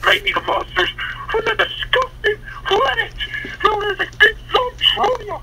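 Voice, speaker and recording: A man playing a melodramatic villain who rants and commands in an amateur Minecraft roleplay, with drawn-out, overwrought delivery. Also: very high voice, pushed and bright, a little hiss or hum, exaggerated voice, low-quality recording. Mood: outraged. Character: villain commanding a zombie army, ranting and melodramatic.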